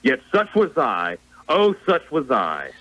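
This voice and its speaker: A man's voice: medium pitch, huge pitch swings, slow pace, a bit bright, muffled recording, full character voice. A man playing the mournful ghost of a dead business partner in a radio play, solemn and declamatory. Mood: anguished, solemn. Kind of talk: theatrical radio play acting.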